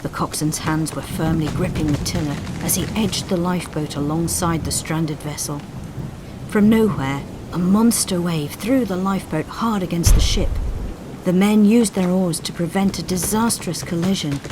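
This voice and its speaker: A woman reading a scripted story in an expressive, suspenseful, dramatic storytelling style. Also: on the low side, normal speed, background noise. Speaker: story narrator. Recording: storm sound effects behind voice. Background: rain, thunder and storm effects.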